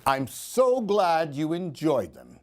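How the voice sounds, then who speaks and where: A man tells a picture-book story for young children. His delivery is slow and sing-song, with a very lively pitch that rises and dips. He talks directly to his little listeners. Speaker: storyteller reading picture books for a library program. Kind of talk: expressive children's story reading.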